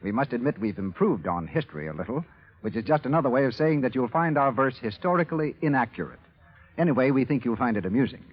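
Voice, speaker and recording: A man hosting a 1930s radio show, delivering scripted announcements and sponsor pitches with formal showmanship. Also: sing-song, fast talker, husky. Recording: muffled vintage 1930s radio recording.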